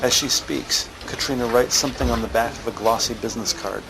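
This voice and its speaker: Male narrator for crime audio drama: mid-pitched, gravelly, deliberate scene-setting with noise underneath. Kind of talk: scripted present-tense scene narration.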